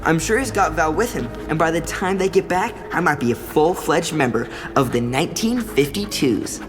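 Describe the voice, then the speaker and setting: A woman's voice: low-pitched, expressive, moderate pace. Earnest boy in a reverberant space. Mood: reflective. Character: earnest, nerdy young boy keeping a captain's log. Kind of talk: audio drama dialogue and diary narration. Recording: long reverb, music behind.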